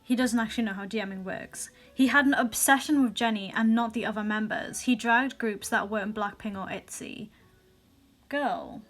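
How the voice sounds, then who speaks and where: A young woman reading viewer stories aloud in a casual vlog, with sassy asides and quick restarts. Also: fairly high pitch, soft background music. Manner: chatty, sassy asides, frequent laughs and restarts. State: recently sick.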